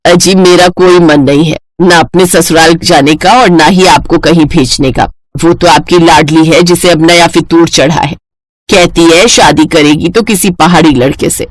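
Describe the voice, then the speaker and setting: A woman's voice: fairly low pitch, fairly even intonation, normal speed, no echo, natural voice. Woman acting a grumbling middle-aged Indian mother. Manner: grumbling, world-weary. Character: middle-aged Indian mother, exasperated, practical, worrying wife. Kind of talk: animated moral-story voice acting.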